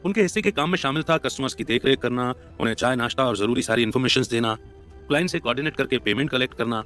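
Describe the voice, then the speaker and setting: A man's voice: medium pitch, very fast, fairly bright tone. Male story narrator over music. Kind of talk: scripted dramatic story narration in Hindi mixed with English words. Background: background music.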